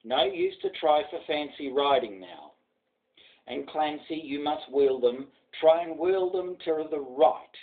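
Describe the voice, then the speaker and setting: Stern old Australian horseman, acted by a man, animated. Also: on the high side, slow, creaky, phone-quality sound, full character voice. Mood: commanding. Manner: commanding, blunt. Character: stern old bush horseman giving orders. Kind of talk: poem recitation.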